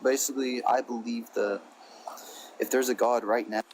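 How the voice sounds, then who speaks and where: A man's voice: medium pitch, fairly even intonation, moderate pace, darkish tone, slight background noise, low-quality recording. Rambling, apologetic male caller. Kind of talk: live debate call-in.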